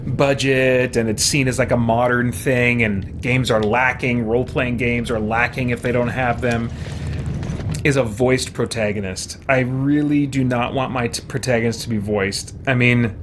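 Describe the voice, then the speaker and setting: A man's voice: mid-range voice, steady tone, moderate pace, slight background noise. A man giving casual, opinionated let's-play commentary as a gaming streamer, musing in a conversational way. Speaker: gaming streamer playing a role-playing game.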